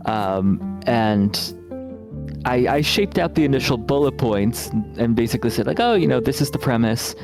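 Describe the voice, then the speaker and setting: Guy game dev chatting in an interview, measured and thoughtful, some guitar music in the back. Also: mid-range voice, lively intonation. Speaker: game developer and writer. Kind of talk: casual interview answers over a game stream.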